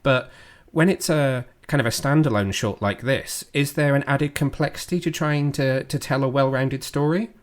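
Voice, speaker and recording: A man with a voice of average pitch acts as podcast interviewer. He phrases his questions conversationally, as part of an informal discussion with a guest. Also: moderate pace.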